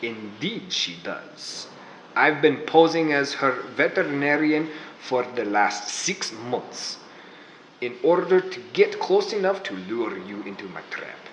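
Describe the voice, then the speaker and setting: A man's voice: medium pitch, very animated, measured pace, fairly bright tone, a little hiss or hum. A man playing a scheming mad-scientist villain in a radio drama, gloating and theatrical. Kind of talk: 1940s radio drama acting. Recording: roomy echo.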